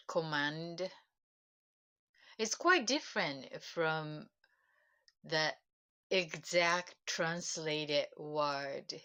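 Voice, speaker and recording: Slow, halting Japanese-accented woman. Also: sits low, sharp tone, clean tone. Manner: halting, searching for words, many pauses. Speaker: self-help channel host. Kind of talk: reflective solo livestream talk.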